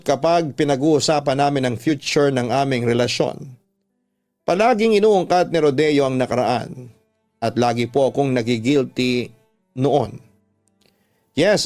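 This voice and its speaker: A man's voice: medium pitch, unhurried, a little mellow. A man narrating a first-person letter in an earnest, sentimental radio drama style. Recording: music under the voice.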